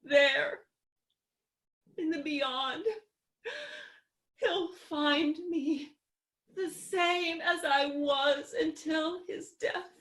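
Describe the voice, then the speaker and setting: A woman playing a melodramatic, self-pitying and imperious young widow in a staged reading of a comedy, lamenting in a theatrical, drawn-out way. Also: high-pitched, fairly even intonation, a bit bright, full character voice. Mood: tearful devotion.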